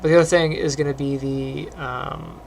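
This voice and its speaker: A middle-aged man who teaches animation, chatting casually while he works, with a phlegmy voice, frequent throat clearing and lots of ums. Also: fairly high pitch, moderate pace, a little hiss or hum. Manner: relaxed, rambling, many ums. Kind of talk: casual screen-recorded tutorial chat.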